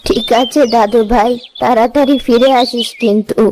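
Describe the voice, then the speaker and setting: A woman's voice: on the high side, fairly even intonation, moderate pace, noisy background, natural voice. A woman playing a poor old village grandmother in a cartoon story, caring and reassuring. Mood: kindly, concerned. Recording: music behind the voice.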